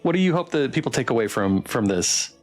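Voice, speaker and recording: A man's voice: medium pitch, expressive, fairly quick, soft background music. A man hosting a casual livestream developer Q&A, interviewing guests in an encouraging, affirming way.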